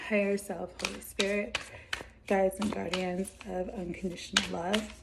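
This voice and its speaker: A woman doing a weekly tarot card reading, talking gently and meandering with many fillers while she is losing her voice. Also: medium pitch, little pitch movement, normal speed, vocal fry, very noisy.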